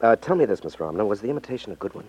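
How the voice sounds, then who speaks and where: An actor playing a wry, cocksure magician detective in a 1950s radio drama. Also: male voice, lowish voice, big pitch swings, racing, warm tone, husky. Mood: casual, assured. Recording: dull, muffled 1950s radio recording.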